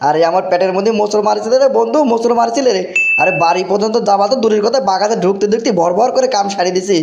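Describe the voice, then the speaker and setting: A man voicing a brash, excitable young villager in a comic cartoon, blustering and repeating himself in a dialect. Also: sits high, very fast, muffled recording. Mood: urgent, sheepish. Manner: exclamatory, repetitive, blustering. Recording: roomy echo, clearly noisy background.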